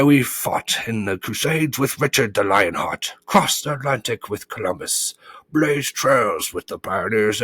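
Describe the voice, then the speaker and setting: A man's voice: mid-range voice, fairly bright tone, slightly rough, dry recording, pitched above the performer's own voice. Man voicing a pompous, blustering old humbug bug. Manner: grandiose, boastful speechifying.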